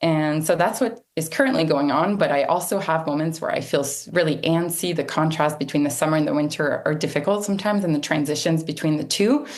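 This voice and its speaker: A woman's voice: deep voice, brisk, few pauses. A woman in her thirties from Canada whose first language is French, speaking reflectively as an interview guest. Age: 30s. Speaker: guest, outdoor travel guide. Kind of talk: conversational interview answers.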